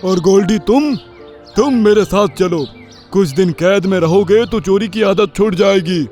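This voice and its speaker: A woman voicing a stern, hot-tempered parrot king in a children's cartoon, with a booming, imperious delivery. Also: measured pace, dark tone, faint music behind, close to an everyday voice. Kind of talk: Hindi children's cartoon story acting.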